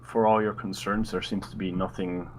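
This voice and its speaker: A man's voice: low-pitched, flat delivery, moderate pace. A man chatting casually as a player in a tabletop game, on a slightly noisy microphone.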